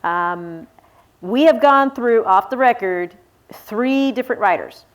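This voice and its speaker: A woman's voice: on the high side, expressive, takes it slowly, poor audio. Chatty, informal woman author. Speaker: author on a panel. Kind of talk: casual panel talk answering audience questions.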